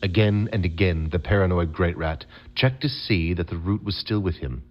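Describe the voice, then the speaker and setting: Very deep-voiced male storybook narrator. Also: moderate pace, muffled recording. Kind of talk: scripted storybook narration for an audio adventure game.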